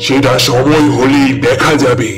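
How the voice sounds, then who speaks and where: A man's voice: medium pitch, fairly even intonation, unhurried, natural voice. A man voicing a talking magic fish in a fairy-tale cartoon, pleading and ominous, bargaining with solemn warnings. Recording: prominent music bed behind voice.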